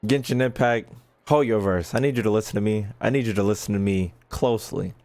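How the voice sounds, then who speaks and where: A man doing casual, slangy gameplay commentary, expressive and given to mock-indignant rants. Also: on the low side, a little fast, no room sound, faint music behind. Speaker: streamer playing and reacting to a video game.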